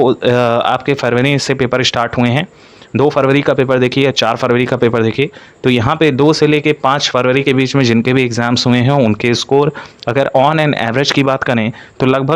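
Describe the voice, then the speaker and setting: A man's voice: medium pitch, steady tone, normal speed. A man speaks as an exam-coaching presenter, explaining an update to candidates and leaning on repeated stock phrases.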